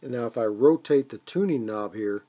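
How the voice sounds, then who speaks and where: An American man giving a casual, hands-on demonstration as a radio hobbyist, speaking off the cuff in a halting way with repeated phrases. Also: medium pitch, noisy background. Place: indoors at a radio desk.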